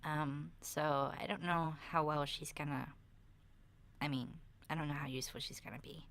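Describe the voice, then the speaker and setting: Woman doing a soft whispery kid girl in zombie apocalypse asmr, kinda low voice, chatty and rambling, stumbles over words. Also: fairly even intonation, moderate pace. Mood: chatty, candid. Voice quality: soft, breathy, often slipping into whispers. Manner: hesitant, rambling, youthful casual phrasing. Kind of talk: soft-spoken ASMR roleplay monologue.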